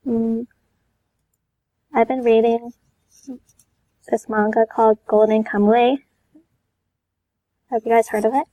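A woman's voice: fairly high pitch, little pitch movement, slow pace, long pauses, warm tone, poor audio. A woman in a casual chat as a podcast guest, speaking in a hesitant, tentative way. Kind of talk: casual chat about anime and manga.

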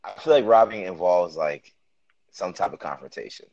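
A man's voice: sits low, expressive, normal speed, a little mellow. A man originally from Jamaica, raised in the Bronx, co-hosting a podcast in casual chat, hesitant and pedantic. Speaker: podcast co-host. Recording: dull dry lo-fi sound.

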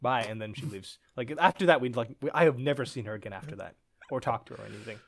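A man's voice: mid-range voice, quick pace, gravelly. A young man with an American accent, a podcast guest telling a story in casual chat and laughing often as he goes.

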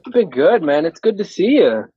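A man's voice: high voice, sing-song, moderate pace, mellow, husky, no room sound, dull audio. A young man from Kenya, a running coach, talking casually on a video call with an easygoing, rambling manner.

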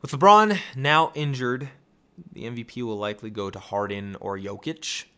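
A tired young man with a raspy voice, hosting a casual sports podcast and rambling through excited rhetorical questions. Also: medium pitch, moderate pace. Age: young adult. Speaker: podcast host.

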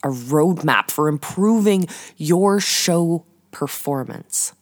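A woman podcast host delivering a scripted monologue in a storytelling style, with dramatic, deliberate phrasing and emphatic pauses. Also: low-pitched, lively intonation. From Canada.

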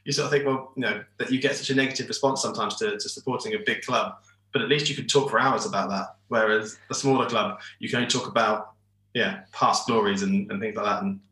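A man's voice: lowish voice, fairly even intonation, quick pace, sharp tone. A man in his forties from England, a shirt designer talking as a guest over a video call in a rambling, hesitant way with many restarts. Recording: echoey room over internet call.

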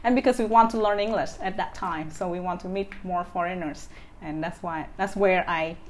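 A woman's voice: fairly low pitch, moderate pace, slight background noise. A woman in her thirties from Vietnam with a Vietnamese accent, speaking as an interview guest with many fillers and restarts. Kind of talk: casual interview.

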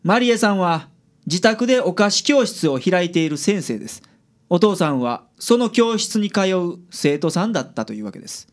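An actor playing a sardonic but kind private detective in a radio drama, with a Kansai accent and a blunt, measured way of talking. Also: male voice, sits high, very animated. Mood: composed, polite. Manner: blunt with colleagues, measured and polite with clients. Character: gruff, sardonic male private detective, shrewd and secretly kind.

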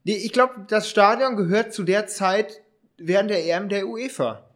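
A man hosting a casual podcast chat, explaining things in a halting way and often rephrasing. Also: high-pitched. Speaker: podcast host.

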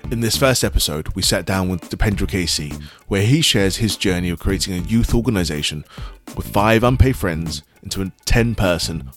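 A man hosting a podcast, reading its introduction in a smooth, scripted way. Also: sits low. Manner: smooth, read-out delivery. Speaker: podcast host.

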